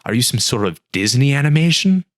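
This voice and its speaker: A man's voice: mid-range voice, big pitch swings, brisk, no echo, pitched above the performer's own voice, close to an everyday voice. A parched, dazed castaway in the desert, as a man reads him pleads and fires off bewildered questions in a raised voice. It sounds like a read-aloud storybook character. The recording is close and dry. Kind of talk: storybook reading.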